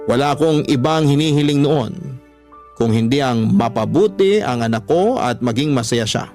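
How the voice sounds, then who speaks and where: A man's voice: mid-range voice, a little mellow. Man narrating over music. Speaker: radio host reading listeners' letters. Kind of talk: dramatic scripted first-person story narration. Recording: music under the voice.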